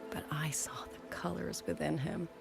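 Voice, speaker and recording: An actress playing a gentle, wistful widowed musician turned soldier in a game, speaking in a soft, measured, reflective way. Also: female voice, low voice, steady tone, creaky, whispered, over music. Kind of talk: scripted game dialogue.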